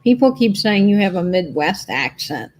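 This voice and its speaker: A woman's voice: medium pitch, big pitch swings, moderate pace, a little mellow. A woman co-hosting a casual livestream chat and reading out viewer comments in an easygoing, enthusiastic way.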